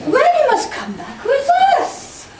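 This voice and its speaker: A woman playing a bossy, excitable musketeer in an amateur Christmas pantomime, exclaiming hammily. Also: extremely high pitch, slow, full character voice. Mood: eager, welcoming. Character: bossy, excitable male French musketeer in comic squabbles. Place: live amateur stage in a hall. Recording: echoey hall, distant mic, clear noise.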